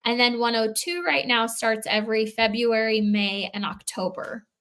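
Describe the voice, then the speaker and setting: A woman's voice: a little high, normal speed, fairly bright tone, clear, resonant tone. A woman answering questions in a live Q&A, explaining in a conversational way. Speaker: guest answering questions on a livestream.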